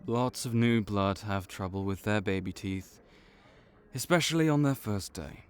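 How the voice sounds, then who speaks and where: A veteran vampire counselor, played by a man, works through paperwork and checkups in a calm, clinical manner. His voice sits fairly low, and he takes his time over every word. He sounds patient and bureaucratic, like a seasoned official in an audio roleplay. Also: smooth, close to an everyday voice. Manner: measured, clinical, patiently reassuring.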